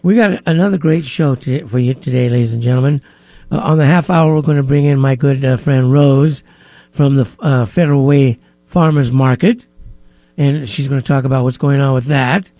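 Gravelly stuffy-nosed radio host man. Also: mid-range voice, mellow, no echo. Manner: hesitant, many filler pauses. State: stuffy nose. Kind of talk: casual talk radio chat. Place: radio studio.

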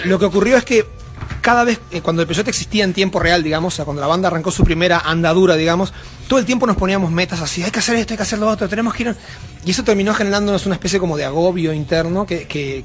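High-voiced Uruguayan man, rambling. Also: rapid-fire, soft background music. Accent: Uruguayan Spanish. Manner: rambling, many fillers and restarts. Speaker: band member, interview guest. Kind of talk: casual interview chat. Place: backstage at a music venue.

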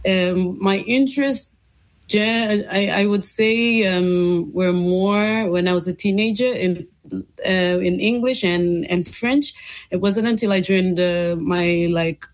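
A young woman from Fiji answers interview questions about her path into teaching. Her voice sits a little low for a woman. She talks thoughtfully, pausing on ums and restarting her sentences as she goes. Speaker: interview guest, English teacher.

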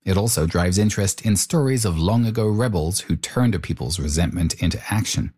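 A man hosting a documentary-style interview, asking curious questions and reading measured scripted narration. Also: low voice, animated, a little mellow, breathy edge. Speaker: host and narrator.

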